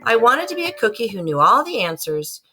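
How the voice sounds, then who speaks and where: A woman's voice: on the high side, brisk. A woman reads a children's picture book aloud to students, expressively acting out the story. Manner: animated, acts out the story. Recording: loud music behind the voice. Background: musical soundscape.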